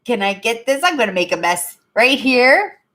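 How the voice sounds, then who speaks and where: A woman's voice: fairly high pitch, very animated, normal speed, fairly bright tone. A woman in her fifties chatting casually on a livestream from her apartment, rambling, repeating herself and ending sentences with "right?". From Canada. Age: 50s. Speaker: livestream host. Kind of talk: casual live chat to viewers.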